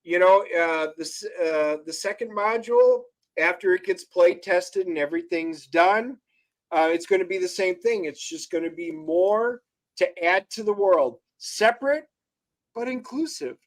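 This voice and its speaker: Co-host: an upbeat man with a very high voice who speaks right to the audience. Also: unhurried. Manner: upbeat, addresses viewers directly. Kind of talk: casual livestream chat.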